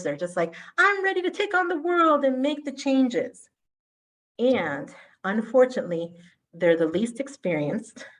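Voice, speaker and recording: A middle-aged woman from Colombia, a native Spanish speaker, giving a conference keynote with animated, self-deprecating asides. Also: fairly low pitch.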